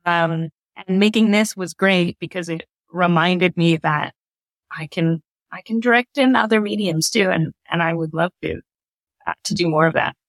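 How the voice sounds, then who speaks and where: A woman's voice: fairly low pitch, normal speed, dry recording. A woman speaking as an interview guest, a theater director talking conversationally.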